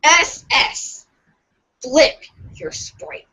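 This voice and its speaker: A woman's voice: high voice, fairly even intonation, extremely slow, pushed and bright. A woman doing a playful group reading of a webcomic script as a podcast co-reader.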